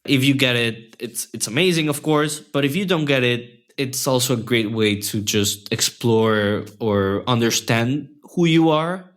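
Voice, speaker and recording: A man's voice: medium pitch, a little slow, hardly any pauses, fairly bright tone. Young guy, spanish is his first language, chatting on a podcast, friendly, keeps restarting sentences, mid voice. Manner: friendly and reflective, with many restarts and fillers. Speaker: interview guest. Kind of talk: casual interview conversation.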